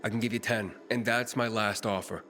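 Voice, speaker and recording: Low-voiced, probing young wanderer. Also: male voice, steady tone, fairly quick, sharp tone. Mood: matter-of-fact. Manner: casual, probing, firm. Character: determined young male wanderer, probing, streetwise hero. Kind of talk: video game voice acting. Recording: music behind the voice.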